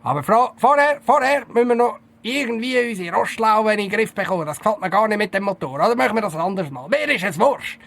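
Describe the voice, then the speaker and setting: A man with a Swiss German accent playing a bossy, excitable, easily spooked detective chief in a comic audio drama. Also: high voice, fast talker, creaky, few pauses, full character voice. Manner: blustering, defensive, jumpy.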